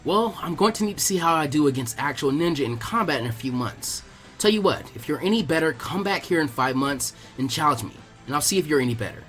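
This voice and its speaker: A man's voice: on the high side, expressive, quick pace, fairly bright tone, faint music behind. A man voicing a teenage girl ninja in a story reading, casual and teasing, a supportive mentor to a younger one. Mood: matter-of-fact. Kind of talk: audiobook character acting.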